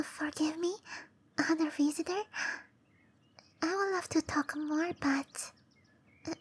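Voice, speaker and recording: A woman voicing a shy, gentle young cherry blossom dryad in a breathy, hesitant whisper for roleplay ASMR. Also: very high-pitched. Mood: bashful, hopeful. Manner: hesitant, bashful, softly spoken. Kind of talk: roleplay ASMR, intimate and slow.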